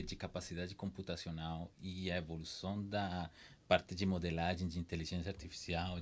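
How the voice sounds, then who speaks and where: Man, very low voice, calm explainer, panel guest. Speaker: guest expert. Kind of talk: casual panel discussion.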